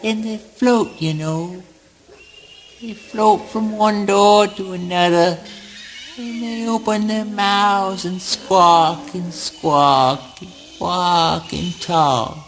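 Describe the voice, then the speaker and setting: Male actor as menacing yet childish oddball for spoken word drama: high, slow, obsessive. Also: fairly even intonation, heavily acted. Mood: dreamy, brooding. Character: Eugene, strange grandiose male misfit, menacing and childish. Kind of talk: theatrical spoken word monologue. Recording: noisy early-nineties amateur audio play.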